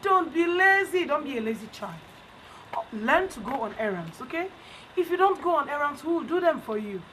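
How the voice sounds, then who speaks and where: Female storyteller, high register, instructive repetition for young listeners, noisy recording. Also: big pitch swings, moderate pace. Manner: teacherly, repeats lessons emphatically. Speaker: storyteller leading children through a story's lesson. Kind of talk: bedtime storytelling and question-and-answer with children. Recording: clear background noise.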